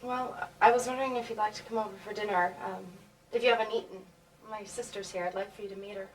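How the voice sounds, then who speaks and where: A woman's voice: medium pitch, fairly even intonation, quick pace, lightly acted. An actress playing a shy, earnest woman who talks hesitantly and stammers through apologies, recorded from a distance with faint noise behind. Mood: nervous. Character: shy, earnest young woman, easily embarrassed. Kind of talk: film dialogue.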